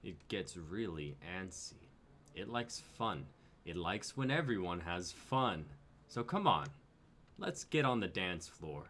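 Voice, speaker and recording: A man's voice: low voice, sing-song, slow pace, a bit bright, a little hiss or hum, heavily acted. A man voicing a coldly threatening, taunting shape-shifting alien in a live script reading.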